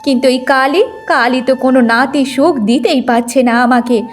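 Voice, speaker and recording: A woman's voice: high voice, fairly quick, lightly acted. A woman voicing a bitter, domineering old village mother-in-law in a cartoon moral story. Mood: coaxing, scheming. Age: older.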